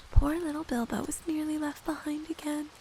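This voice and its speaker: A woman with a stuffy nose reading a story aloud in a soft, gentle ASMR whisper. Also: sits high, little pitch movement, normal speed, slight background noise.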